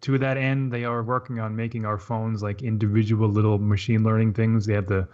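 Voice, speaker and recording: Halting man with a dull recorded sound. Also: on the low side, little pitch movement, darkish tone. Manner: halting, repeats words mid-sentence. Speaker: podcast panelist. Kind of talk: casual tech podcast discussion.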